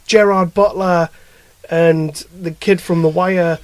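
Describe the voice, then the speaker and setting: Higher-pitched man ranting bluntly, close and dry. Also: slow, dry recording. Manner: sweary, blunt, animated film ranting. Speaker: podcast host reviewing films. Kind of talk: casual chat.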